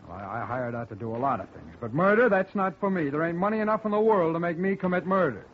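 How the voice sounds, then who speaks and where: An actor playing a shifty male hired thug in a 1950s radio drama, whining and wheedling in rough slang. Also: fairly high pitch, animated, fast talker, natural voice. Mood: protesting. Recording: dull old radio broadcast, faint hiss.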